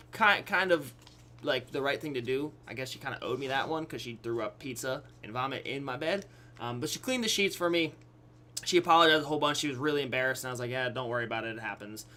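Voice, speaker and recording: A young adult man telling a personal story casually to his viewers, acting out quotes, pausing for effect and often checking that listeners follow. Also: mid-range voice, sharp tone. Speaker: YouTube storyteller.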